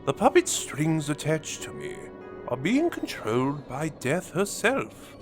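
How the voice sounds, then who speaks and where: A sneering audio drama villain, slow menacing monologue, slightly high voice swinging all over, music under it. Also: male voice, sing-song. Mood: grandiose.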